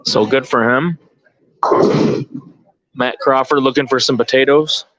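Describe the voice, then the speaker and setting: A man's voice: mid-range voice, fairly even intonation, unhurried, a bit bright, dry recording. A man giving casual, off-the-cuff live sports commentary, informally thinking aloud as he follows the play. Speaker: bowling tournament commentator and organizer. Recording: dull, lo-fi live stream audio.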